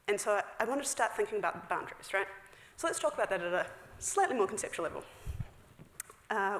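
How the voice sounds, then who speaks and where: Woman, 30s, conference speaker, chatty with lots of ums, mid pitch, roomy hall sound. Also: a bit bright. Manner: chatty, frequent fillers, quick asides with laughs. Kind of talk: technical conference talk. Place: large dark theater stage. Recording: echoey hall.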